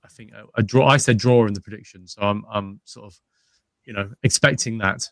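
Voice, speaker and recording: A man's voice: on the low side, steady tone, brisk, fairly bright tone, no echo. A man co-hosting a casual football chat, hesitant with many fillers and restarts. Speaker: co-host, fan pundit.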